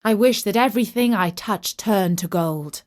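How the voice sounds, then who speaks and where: A woman's voice: mid-range voice, moderate pace, natural voice. Woman voicing a theatrical, greedy storybook king. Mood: eager, greedy. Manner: theatrical, emphatic. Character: foolish gold-greedy king, excitable and dramatic. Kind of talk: children's storybook character reading.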